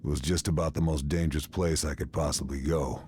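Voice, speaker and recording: An actor playing a hard-boiled army sniper, narrating a wartime game cutscene in a terse, grave, deadpan way. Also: male voice, a bass voice, fairly quick, background noise. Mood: grim. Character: hard-boiled male WWII army sniper, grim and determined. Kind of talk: scripted first-person game cutscene narration.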